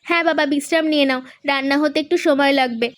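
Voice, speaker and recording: A woman voicing a lively, playful young village daughter in a cartoon, eager and fond of secrets. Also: high voice, fast, faint music behind, close to an everyday voice. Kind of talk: cartoon voice acting.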